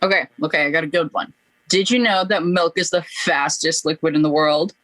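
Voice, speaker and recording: A woman's voice: low-pitched, a little fast, a bit bright, no room sound, rough recording. A young woman playing a casual, guarded friend in an amateur radio play. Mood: playful.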